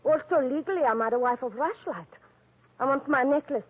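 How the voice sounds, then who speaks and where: A woman's voice: sits high, fairly quick, close to an everyday voice. A woman playing a blunt young Dutch bride in 1940s radio drama, with a Dutch accent and inverted foreign phrasing. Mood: matter-of-fact, insistent. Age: young adult. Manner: foreign, inverted word order, insistent. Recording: muffled old radio recording.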